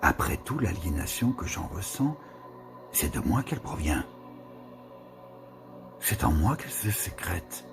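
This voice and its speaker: A man giving a slow, musing reading of a literary text, with pauses and whispered asides. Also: deep voice, sing-song, creaky. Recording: reading with music behind. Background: music.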